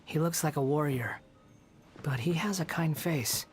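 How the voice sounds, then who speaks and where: An actor voicing a world-weary young man who speaks quietly, often half-whispered. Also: medium pitch, a little slow, over music, close to an everyday voice. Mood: brooding.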